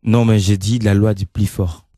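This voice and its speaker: A man's voice: lowish voice, flat delivery, normal speed, mellow, dry recording, clean studio sound. A man with a Congolese accent hosting a conversational radio show, often repeating and rephrasing his phrases. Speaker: radio host. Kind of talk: conversational radio talk, code-switching.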